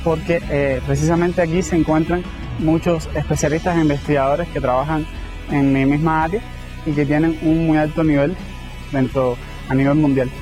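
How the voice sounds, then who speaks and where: A man from Cuba with a Cuban accent, a graduate student speaking in measured, formal phrases. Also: on the high side, steady tone, music in the background. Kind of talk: interview testimonial.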